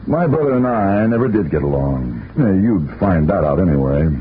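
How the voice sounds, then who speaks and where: Swooping, low-voiced brandy drinker, old radio. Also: male voice, sing-song, normal speed. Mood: sardonic, evasive. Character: idle, brandy-drinking brother, evasive and sardonic. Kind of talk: 1950s radio drama acting. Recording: tinny, noisy old radio recording.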